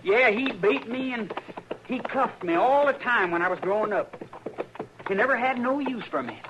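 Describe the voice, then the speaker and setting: A simple, bitter young outlaw. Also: male voice, very high voice, lively intonation, moderate pace. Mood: plaintive. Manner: plain-spoken, ungrammatical country speech, earnest. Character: simple, hurt young outlaw man, bitter at his brother. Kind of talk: 1950s radio drama acting. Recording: old radio broadcast, dull and hissy.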